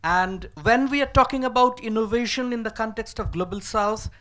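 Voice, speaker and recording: A man from a civil society group making a formal, deliberate comment from a conference audience. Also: high voice, pushed and bright. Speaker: audience member.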